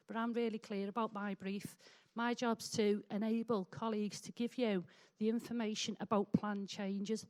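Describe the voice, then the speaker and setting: A woman's voice: mid-range voice, a little mellow. A middle-aged woman with a Liverpool accent chairing a public meeting in a hall, chatty and informal as she runs through housekeeping.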